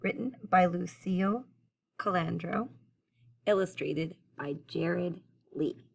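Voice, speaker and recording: A woman teacher reading a picture book aloud to young children in an expressive, sing-song, encouraging way. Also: on the low side, slow pace, creaky, breathy edge. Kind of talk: children's story read-aloud, addressing young listeners.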